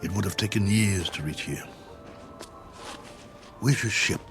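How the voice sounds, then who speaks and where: An actor with an American accent playing a stranded, weary, wry colonel in a 1960s television drama, explaining things in simple, halting phrases. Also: male voice, lowish voice. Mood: somber, sympathetic. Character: stranded one-armed colonel, weary, wry, patient then exasperated spaceman. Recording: old TV soundtrack with music underneath.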